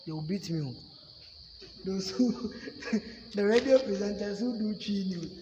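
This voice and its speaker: A man's voice: high-pitched, unhurried, a little mellow, dull audio, slight background noise. Ghanaian man teaching in an echoey room. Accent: Ghanaian English. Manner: emphatic, repeats "you see" and "you get it". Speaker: public speaking coach. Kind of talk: classroom teaching. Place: classroom. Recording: echoey room with long reverb.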